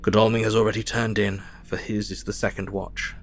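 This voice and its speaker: A man playing a sombre, compassionate Victorian gentleman, narrating a diary in measured tones for an audio drama. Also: lowish voice, level tone, faint music behind, close to an everyday voice.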